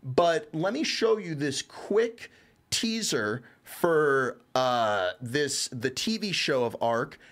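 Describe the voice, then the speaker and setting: Higher-pitched man, casual rambling reviewer. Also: lively intonation, slow, fairly bright tone. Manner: rambling, informal, swears casually. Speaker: reviewer on a show. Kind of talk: casual conversational review of an animated series.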